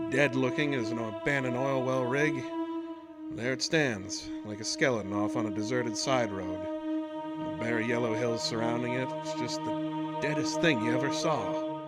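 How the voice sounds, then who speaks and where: An actor playing a rough-spoken oil rig worker telling his own eerie tale in a colloquial, old-time radio horror monologue. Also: medium pitch, normal speed, loud music behind the voice, close to an everyday voice. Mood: uneasy, haunted. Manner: colloquial, folksy, haunted storyteller.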